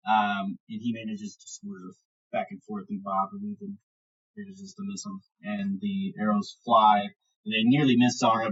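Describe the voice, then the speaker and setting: A man improvising narration as game master of a tabletop fantasy game, describing the action in halting, vivid detail. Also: medium pitch, a bit bright, slightly rough, dull audio.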